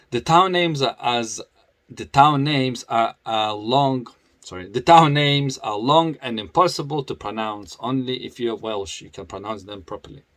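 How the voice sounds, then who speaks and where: A man's voice: mid-range voice, measured pace, fairly bright tone, no echo. Male motorcycle vlogger with a foreign accent, casual, laughs a lot, restarts sentences, dry sound. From grew up in the UK. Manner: chatty, laughs often, many restarts. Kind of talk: casual talk to camera.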